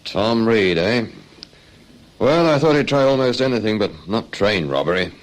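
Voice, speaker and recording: An actor playing a steady, probing police sergeant in a radio drama. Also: male voice, lowish voice, moderate pace, close to an everyday voice, rough recording. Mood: firm, wry.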